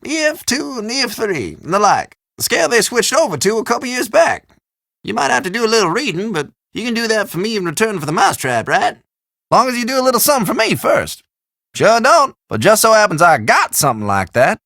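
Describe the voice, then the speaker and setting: Game role: chatty old country shopkeeper, high creaky voice, dry recording, teasing and folksy. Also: male voice, bright, forceful tone, no room sound, heavily acted. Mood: folksy, helpful. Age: older. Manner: folksy drawl, colloquial, teasing. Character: folksy, chatty old small-town storekeeper, a man. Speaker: small-town general store keeper. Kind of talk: video game character dialogue.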